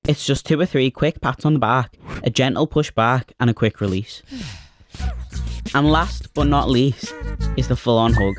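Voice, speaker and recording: A man in his twenties from Liverpool with a Liverpool accent, narrating a short animated film in a chatty, wry, self-mocking way. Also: medium pitch, moderate pace, soft background music. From Liverpool, England. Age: 20s.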